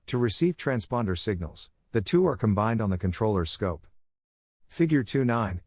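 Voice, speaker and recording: Low-voiced man narrating a technical handbook. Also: darkish tone, no echo, clean studio sound. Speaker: audiobook narrator.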